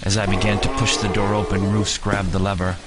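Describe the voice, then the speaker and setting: A man giving grave, deliberate, dramatic first-person narration for an audio story. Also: low voice, flat delivery. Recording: music bed with rain sounds.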